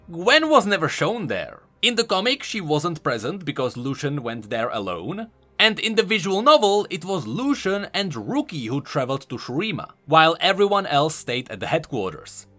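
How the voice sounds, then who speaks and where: Animated, bright-voiced man commenting on game lore. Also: high voice, moderate pace. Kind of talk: scripted commentary. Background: background music.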